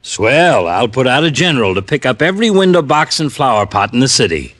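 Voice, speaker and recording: A man acting a gruff, sarcastic, wisecracking American police lieutenant in a 1950s radio drama. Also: medium pitch, sing-song, brisk, a bit bright. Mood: weary, sarcastic.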